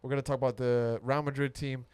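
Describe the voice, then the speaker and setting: A man's voice: mid-range voice, little pitch movement, fast talker, darkish tone, clear, resonant tone, no room sound. A man hosting a football podcast in casual, matey banter full of slang. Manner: matey slang, frequent use of "mate". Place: podcast studio.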